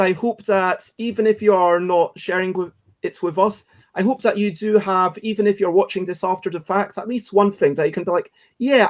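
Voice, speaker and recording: Male chat-show host with a notably high-pitched voice, informal, encouraging, wandering and self-correcting delivery. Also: darkish tone. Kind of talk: casual chat, interviewing.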